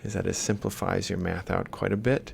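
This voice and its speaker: A man giving an informal, step-by-step lecture, explaining haltingly as he works through examples. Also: deep voice, flat delivery, creaky, slight background noise. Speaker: university robotics lecturer. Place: screen-recorded lecture voice-over.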